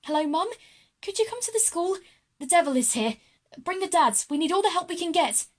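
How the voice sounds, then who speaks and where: A woman's voice: very high voice, lively intonation, fairly quick, voice pushed up. A woman voicing a bold, decisive schoolgirl leader in a children's audio drama, urgent and commanding.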